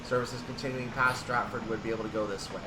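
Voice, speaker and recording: A man's voice: mid-range voice, fairly even intonation, fast, heavy background noise. A man giving explanatory, methodical gameplay commentary, thinking aloud as he plays a train simulator. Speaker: video game streamer playing a train simulator.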